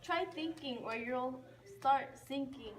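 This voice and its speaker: A woman's voice: high-pitched, slow. A woman playing a fussy, scheming cottage sister in an amateur rhyming puppet show. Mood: scornful. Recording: distant mic, clearly noisy, clicks.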